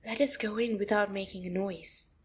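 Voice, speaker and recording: An actress playing a scheming, scornful young wife in a classic comedy audio play, with a haughty theatrical manner. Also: female voice, mid-range voice, steady tone, moderate pace, breathy edge. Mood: hushed, worried.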